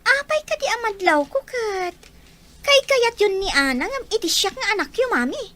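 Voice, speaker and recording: Very high-pitched jealous schoolgirl daughter. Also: lively intonation, moderate pace, fairly bright tone, slight background noise. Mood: hurt, pleading. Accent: Ilocano. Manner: emotional, pleading, melodramatic. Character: jealous, scheming young schoolgirl daughter, tattling and whining. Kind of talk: Ilocano radio drama acting.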